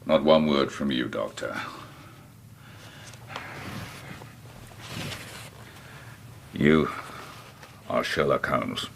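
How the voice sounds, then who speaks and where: An actor in a radio drama playing a gruff, ailing, bitter old man with a gravelly, weary, deliberate delivery. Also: extremely low pitch, slight background noise, exaggerated voice. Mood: gruff, guarded. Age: older.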